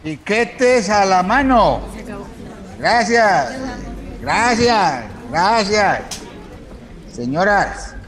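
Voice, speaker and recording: An actor playing a bus ticket puncher calling out to passengers, with a Colombian accent, in a radio drama. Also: male voice, high voice, animated, extremely slow, long pauses, crowd noise, heavily acted. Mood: brisk, calling.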